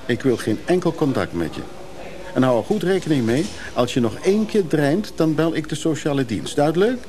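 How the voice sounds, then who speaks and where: A man's voice: a little high, very animated, a little fast, darkish tone. An actor in a naturalistic radio drama, playing a blunt, world-weary man with a curt, sardonic way of talking. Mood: scornful, irritated. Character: blunt, scornful, world-weary man, wistful about his daughter. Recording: noisy radio drama recording with background ambience.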